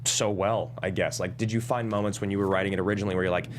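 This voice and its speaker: A man's voice: deep voice, steady tone, racing, slight background noise. A man on a discussion panel, talking casually and effusively as an actor. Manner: effusive, admiring talk.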